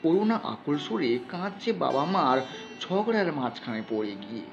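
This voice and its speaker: A man's voice: fairly high pitch, very animated, moderate pace, vocal fry, slight background noise. A man narrating an audio story in a dramatic scripted reading style. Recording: slightly dull sound, music bed behind.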